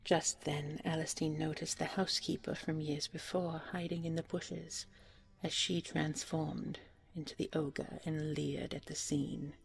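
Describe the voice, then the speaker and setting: A woman narrating a scripted bedtime fairy tale softly and soothingly, often close to a whisper. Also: low voice, level tone, a little slow, creaky. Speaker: storyteller, audio roleplay performer.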